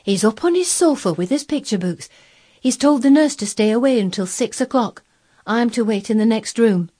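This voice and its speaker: A woman's voice: fairly high pitch, expressive, normal speed, dark tone, husky, voice pushed up. A woman voicing a plain-spoken young housemaid, homely and deferential, in a storybook. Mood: puzzled. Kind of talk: acted storybook dialogue.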